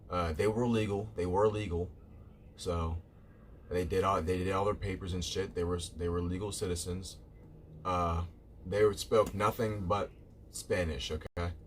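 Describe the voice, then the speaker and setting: A man's voice: sits low, a little hiss or hum. A man live streaming, chatting casually with viewers in a rambling way, repeating himself and calling them "bro".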